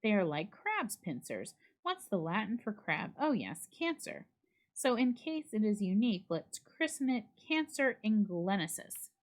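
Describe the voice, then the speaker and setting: A woman voicing a curious, amused gentleman houseguest in a storybook reading, breezy and conversational. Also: medium pitch, sing-song, unhurried, voice pushed up. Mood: pleased, intrigued.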